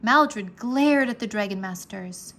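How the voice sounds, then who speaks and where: A woman narrating a children's adventure story aloud in an expressive, measured, dramatic storytelling manner. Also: medium pitch.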